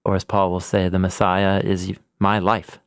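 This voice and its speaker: Gravelly low-voiced man, reflective. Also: little pitch movement, moderate pace, no room sound, studio quality. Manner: thoughtful, laughs at his own rhymes. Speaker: podcast co-host, Bible scholar. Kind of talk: casual reflective conversation.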